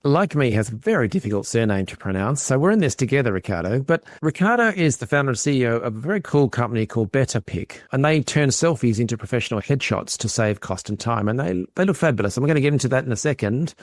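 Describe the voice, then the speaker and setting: A man's voice: mid-range voice, very animated, fast talker, no room sound, studio quality. A man hosting a conversational interview podcast in a chatty, self-deprecating and appreciative way. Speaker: podcast host.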